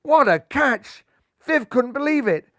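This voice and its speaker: An older man reading a children's picture book aloud, lively, lifting his voice for the characters. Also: measured pace, dry recording, clean studio sound. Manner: lively, raises pitch and volume for characters' lines. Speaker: storybook reader. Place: living room, seated in armchair.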